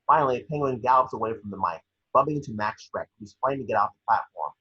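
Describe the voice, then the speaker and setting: A man with a husky, raspy voice reading scene descriptions for a screenplay table read in a brisk, vivid storytelling way. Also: mid-range voice, expressive. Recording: dull lo-fi call audio.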